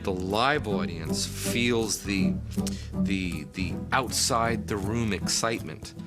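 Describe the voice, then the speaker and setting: Man, show host interviewed, earnest, emphatic, low register, loud guitar music. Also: takes it slowly. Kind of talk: interview answers. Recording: prominent guitar music behind. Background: plucked guitar music.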